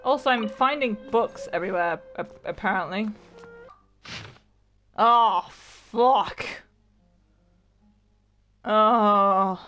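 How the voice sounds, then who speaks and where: A woman's voice: medium pitch, drawn-out words, long pauses, fairly bright tone. A woman giving casual, chatty, reactive let's play commentary with plenty of swearing. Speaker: gaming video creator. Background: game music.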